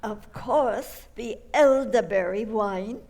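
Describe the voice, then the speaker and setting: A woman's voice: on the high side, takes it slowly, darkish tone. A woman playing a kindly, hospitable elderly aunt in an old-time radio comedy, genteel and sweetly polite.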